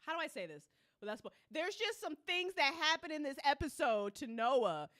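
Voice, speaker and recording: A woman's voice: high voice, very animated, moderate pace, fairly bright tone, no echo, studio quality. A woman hosting a live listen party in casual livestream chat, informal and teasing, with some swearing.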